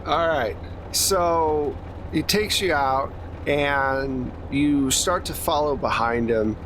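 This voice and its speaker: A man's voice: a little high, takes it slowly, noisy background. A man hosting as game master, narrating a tabletop horror game in a conversational style. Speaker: game master and podcast host.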